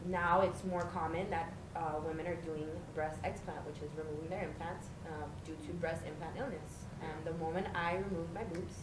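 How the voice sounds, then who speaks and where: A woman's voice: sits low, steady tone, moderate pace, hardly any pauses. A woman in her thirties, a podcast guest, speaking candidly and matter-of-factly in casual chat. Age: 30s. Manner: candid, matter-of-fact storytelling. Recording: echoey room with noticeable background noise.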